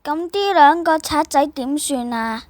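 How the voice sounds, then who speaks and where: A woman's voice: high voice, animated, unhurried, darkish tone. A woman playing an obedient, cheerful royal attendant in a stage play, with a presentational delivery. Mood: dutiful.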